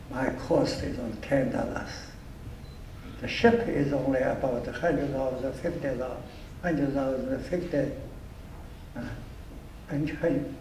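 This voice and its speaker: An older man with a heavy Chinese accent, speaking in halting, broken English as an award honoree, repeating words as he goes. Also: mid-range voice, a little mellow. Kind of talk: speech with audience Q&A. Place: event hall. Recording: echoey room from far off, faint noise.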